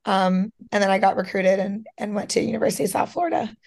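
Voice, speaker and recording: A young woman in her twenties, a professional softball player, chatting casually as a podcast guest. Her voice is slightly hoarse, and she fills her talk with lots of "like" and "you know". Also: medium pitch, fast talker. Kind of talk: casual interview chat.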